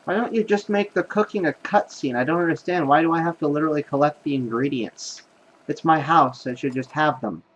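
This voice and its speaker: A man's voice: on the high side, a little fast, muffled recording, a little hiss or hum. A man giving casual, wry, grumbling gameplay commentary as he plays. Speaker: game streamer.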